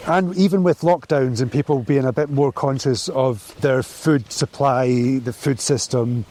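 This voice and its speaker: A Scottish man with a mid-range voice talks about his work on a farm in an easy, reflective way, sometimes pausing to find his words. He is recorded outdoors, and faint traffic and ambient noise sit underneath his voice. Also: mid-range voice, warm tone. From Scotland. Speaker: farm grower. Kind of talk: casual interview walking outdoors.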